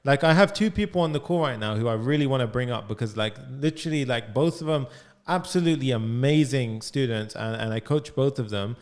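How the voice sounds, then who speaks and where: A man in his twenties coaching students on a live video call, talking energetically with lots of "like" and "right?", close to a studio mic. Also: mid-range voice. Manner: energetic, fast, full of "like" and "right?". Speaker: coding coach. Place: home studio room. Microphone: studio mic on boom arm, headphones.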